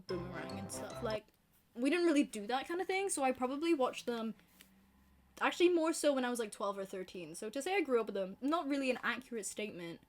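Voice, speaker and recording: A young woman with a softened Glasgow accent talks casually to camera, her voice a little higher than most. She rambles, with plenty of fillers and self-deprecating asides. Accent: softened Glaswegian Scottish. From Scotland. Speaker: book vlogger.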